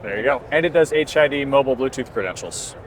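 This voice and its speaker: A man's voice: medium pitch, expressive, fast talker. A man giving a conversational, explanatory product demonstration as a company presenter at a trade show. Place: busy indoor trade show booth. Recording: constant trade show floor noise. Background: exhibition hall ambience.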